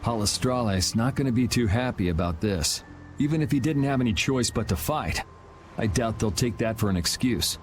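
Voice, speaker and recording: A man's voice: mid-range voice, expressive, normal speed, natural voice. A man playing a cool, sardonic young swordsman in a video game, with a dry, laid-back way of talking. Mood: matter-of-fact. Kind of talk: video game dub acting. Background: background music.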